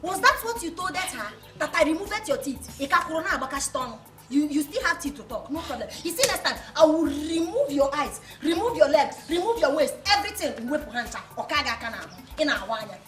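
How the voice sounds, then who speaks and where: A woman's voice: sits high, very animated, fast, a bit bright, roomy sound, faint music behind. A woman with a Nigerian accent playing a hot-tempered, boastful village woman who scolds and threatens in pidgin English. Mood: boastful threats. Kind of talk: comedy skit acting.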